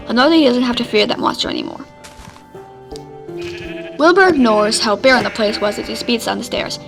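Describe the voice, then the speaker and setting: A woman's voice: on the high side, lively intonation, brisk, gravelly, over music. A woman reading fan fiction aloud as a podfic narrator. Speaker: podfic reader.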